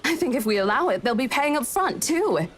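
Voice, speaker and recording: A woman voicing a brisk, confident female real estate colleague who explains things in a visual novel read-aloud. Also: sits high, sing-song, pitched above the performer's own voice. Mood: excited.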